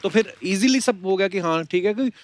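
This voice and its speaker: A man's voice: high voice, brisk, noisy background. A man hosting a talk show with teasing, joking banter between questions to guests. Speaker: comedy talk show host. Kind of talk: bantering comedy interview in Hindi mixed with English.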